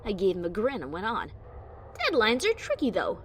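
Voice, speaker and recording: Adventurer pony narrator, played by a woman: female, lively varied pitch, wry bravado with nerves underneath, first-person storybook drama. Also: moderate pace, slight background noise. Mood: wry, confident. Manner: expressive, breezy bravado over nerves.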